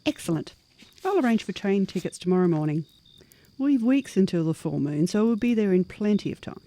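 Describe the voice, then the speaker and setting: A woman's voice: medium pitch, expressive, a little fast, mellow, close to an everyday voice. A woman playing a practical, upbeat superhuman monster adventurer in an audio horror-comedy, with a folksy, coaxing manner. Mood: practical, teasing. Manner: folksy, plain-spoken, coaxing.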